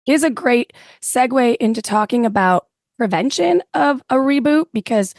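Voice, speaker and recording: A woman co-hosting a podcast in a casual, rambling way, her voice raspy and going out from a cold. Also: on the high side, animated, normal speed, a little mellow, no echo, studio quality. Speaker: podcast co-host. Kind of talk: casual conversational interviewing.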